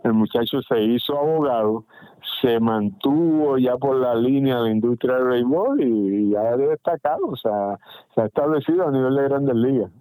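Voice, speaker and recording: A man's voice: mid-range voice, moderate pace, warm tone, clean tone, no echo, poor audio. A middle-aged man with a Puerto Rican accent, a baseball general manager answering radio interview questions with many restarts and fillers. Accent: Puerto Rican Spanish. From Puerto Rico.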